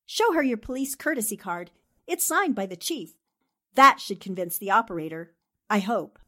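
A woman playing a brisk, determined newspaperwoman sleuth in a 1940s-style radio drama, with a commanding manner. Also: medium pitch, very animated, a bit bright. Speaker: actor in an amateur radio drama re-creation.

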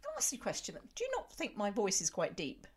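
A woman's voice: mid-range voice, huge pitch swings, fast talker, breathy edge. A woman in her fifties co-hosting a podcast, chatting with quippy, playful asides and teasing remarks. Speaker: podcast co-host. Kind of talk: casual chat.

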